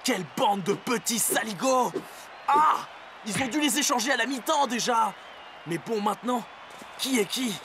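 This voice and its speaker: Excitable high-pitched young soccer player. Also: male voice, normal speed, faint music behind, heavily acted. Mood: astonished. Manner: slangy, emphatic, insistent. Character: excitable young male soccer player, cocky detective type. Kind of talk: French cartoon dub.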